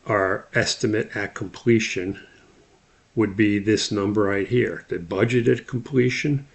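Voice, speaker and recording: A man's voice: on the low side, a little slow, gravelly, slightly rough. A man giving an instructional lecture, explaining things methodically step by step as he talks through slides. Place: voice-over on a slide screen recording.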